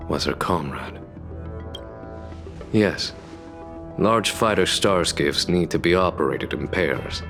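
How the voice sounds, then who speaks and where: A wise, courteous game commander with a deep voice. He speaks formally and takes his time over each phrase, measured and deliberate. Music plays clearly behind him. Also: full character voice. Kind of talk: video game dialogue acting. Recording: background music under the voice.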